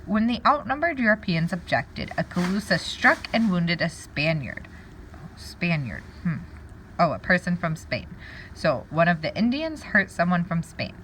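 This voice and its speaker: A woman with a slightly low voice reads a lesson aloud as a teacher. Her intonation swings widely as she wonders aloud and explains words for pupils. The recording carries some faint background noise. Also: very animated, normal speed, fairly bright tone, low-quality recording. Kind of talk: read-aloud lesson with think-aloud comments.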